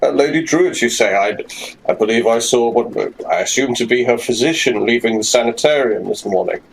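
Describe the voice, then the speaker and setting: A man plays a polite, inquisitive gentleman in a tabletop game. His small talk is formal and probing, at a middling pitch. Background noise is clear. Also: a little fast, close to an everyday voice, rough recording. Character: polite, nosy male gentleman newcomer with period formality.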